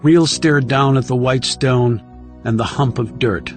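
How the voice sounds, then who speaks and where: A man's voice: mid-range voice, measured pace, faint music behind. A man reading scripted thriller narration as an audiobook narrator.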